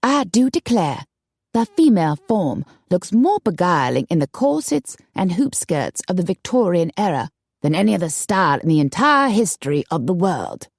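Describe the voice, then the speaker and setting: A woman's voice: on the low side, very animated, normal speed, a little mellow, husky, no echo, full character voice. A woman voicing a haughty, boastful Southern belle with an affected Southern accent in an audiobook story. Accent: affected American Southern.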